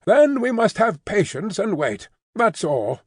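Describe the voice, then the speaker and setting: A man's voice: high-pitched, big pitch swings, measured pace, darkish tone, husky, no echo, studio quality, voice pushed up, full character voice. A man voicing a fussy, fiercely loyal Englishwoman housekeeper who talks emphatically and primly. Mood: emphatic. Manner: emphatic, bustling, prim.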